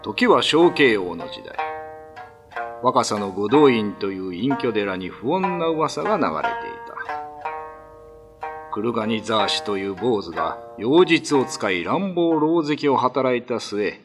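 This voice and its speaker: A man's voice: mid-range voice, sing-song, a little slow, over music. A man narrating an old ghost tale as a scripted radio drama, in a dramatic storytelling style.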